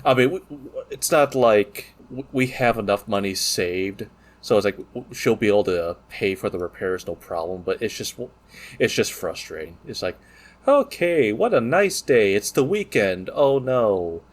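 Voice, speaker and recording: Male podcast co-host, lowish voice, casual and joking, comfortable with coarse language. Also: expressive, moderate pace. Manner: profane, joking banter. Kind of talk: casual chat.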